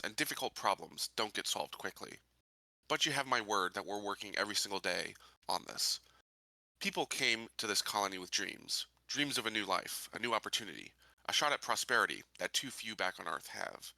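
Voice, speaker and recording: A man playing a resolute sheriff candidate, giving an earnest, measured public statement in a tabletop game. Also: fairly low pitch, expressive, gravelly, pushed and bright, no echo, full character voice, poor audio. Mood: earnest, determined. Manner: earnest, measured political speech.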